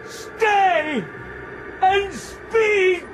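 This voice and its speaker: Radio Shakespeare: earnest, haunted scholar, theatrical verse, high-pitched and unhurried, noisy background. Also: male voice, over music, full character voice. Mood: alarmed, commanding. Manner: declamatory, theatrical, measured verse delivery. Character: Horatio, earnest scholar shaken by a ghost, young man. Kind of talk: Shakespearean radio drama acting.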